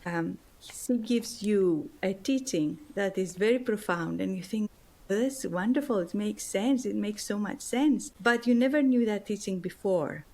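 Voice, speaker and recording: A woman's voice: mid-range voice, a little mellow. A woman from Greece with a Greek accent, an author answering interview questions in an earnest, heartfelt way and often repeating words as she searches for them. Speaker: guest author and spiritual teacher. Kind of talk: interview answers, personal story.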